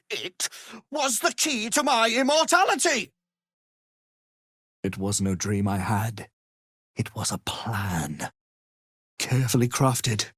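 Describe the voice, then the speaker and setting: An arrogant, menacing wizard from a fantasy game, speaks in an unhurried, highly animated voice. He is pompous and condescending, delivering each phrase with theatrical relish. The recording is dry and close. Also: breathy edge, dry recording, exaggerated voice. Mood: obsessed, brooding. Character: arrogant, power-hungry male wizard, haughty and menacing.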